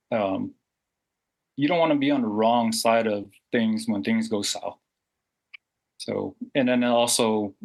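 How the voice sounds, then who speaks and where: A low-pitched man in his forties, born and raised in Samoa, speaking in a candid interview. He talks earnestly and reflectively, circling back with fillers and restarts as he thinks aloud. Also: vocal fry, poor audio. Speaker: guest.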